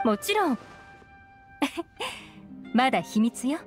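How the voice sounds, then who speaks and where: A woman's voice: high voice, big pitch swings, extremely slow, exaggerated voice. A woman playing a self-assured, sly young intelligence agent in an anime game, composed, teasing and knowing. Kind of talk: Japanese anime game voice acting. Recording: music behind the voice.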